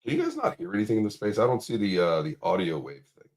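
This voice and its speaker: A man hosting a casual crypto livestream. His voice is raspy and hoarse, and he talks in upbeat hype with lots of filler words. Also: fairly low pitch, wildly swooping pitch, fast talker, a little mellow. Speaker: livestream host.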